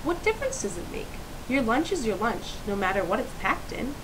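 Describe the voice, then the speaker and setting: A woman voicing a concerned mother in a storybook, reasoning gently with a lilt. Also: on the high side, brisk, pitched above the performer's own voice. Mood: apologetic, reasoning. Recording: clear steady hiss.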